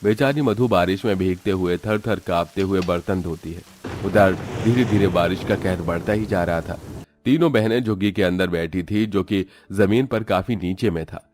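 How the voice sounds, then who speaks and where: A man's voice: sits low, normal speed, darkish tone. A man narrating a scripted, dramatic story.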